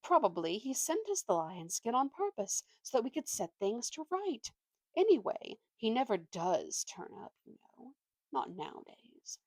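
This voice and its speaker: A woman's voice: on the high side, big pitch swings, a little slow, no echo, voice pushed up, full character voice. A woman voicing a scheming, bossy male ape in a storybook reading, glib and persuasive. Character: scheming, fast-talking male ape bullying his companion. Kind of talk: storybook read aloud.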